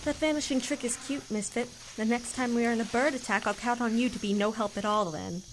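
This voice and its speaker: A fairly high, haughty voice from a woman acting plays a scornful mutant warrior. The lines are formal and sarcastic, with faint noise, in a fan audio drama. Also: fairly even intonation, brisk, close to an everyday voice, rough recording.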